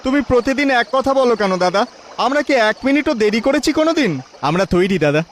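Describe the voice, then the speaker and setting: Man voicing an earnest high-pitched young fisherman. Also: animated, brisk, music in the background. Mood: mildly protesting. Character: earnest young fisherman brother with magic power.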